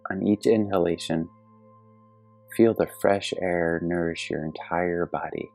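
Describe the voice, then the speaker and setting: Very deep-voiced man guiding meditation. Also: vocal fry. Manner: gentle, calm, measured instruction with long pauses. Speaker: meditation guide. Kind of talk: guided meditation narration. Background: soft meditation music with singing bowl tones.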